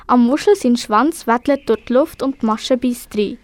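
A woman's voice: on the high side, moderate pace, no room sound. A teenage girl with a Swiss accent, narrating a scripted children's story. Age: teens. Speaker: storyteller in a school radio play.

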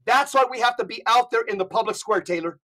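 A man's voice: high-pitched, sharp tone. Emphatic man preaching on a podcast. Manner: preachy and emphatic, spells things out slowly. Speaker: guest. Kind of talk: podcast conversation.

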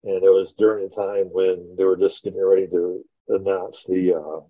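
A man's voice: low voice, flat delivery, normal speed, dry recording. A middle-aged man chatting casually as a podcast host, telling rambling, informal stories over an online call. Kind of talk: casual reminiscing podcast talk. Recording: dull, lo-fi online call audio.

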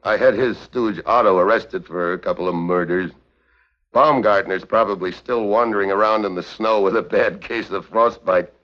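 An actor playing a tough, wry American secret agent in a 1950s television crime drama, with clipped, deadpan delivery. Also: male voice, lowish voice, normal speed, lightly acted. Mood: businesslike, wry. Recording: dull, muffled 1950s television soundtrack.

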